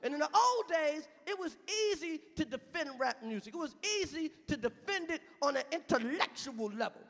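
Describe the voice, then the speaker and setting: A man in his thirties performing a loud stand-up comedy set on stage, shouting punchlines and chanting lyrics. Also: very high voice, creaky. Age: 30s. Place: large venue on stage. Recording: live hall with loud crowd and noise.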